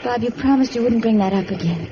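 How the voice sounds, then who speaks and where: An actress playing an earnest young sweetheart in an old radio drama, with a romantic, melodramatic delivery. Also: female voice, a little high, fast talker, lightly acted. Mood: earnest, torn. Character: lovely young woman performer, enthusiastic yet dutiful sweetheart. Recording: muffled, noisy old radio recording.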